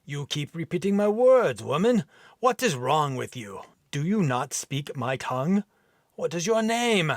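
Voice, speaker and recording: A man putting on a rough bellowing Viking warrior with a deep brogue. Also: sing-song, unhurried, no room sound, studio quality. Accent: rough deep brogue (put on).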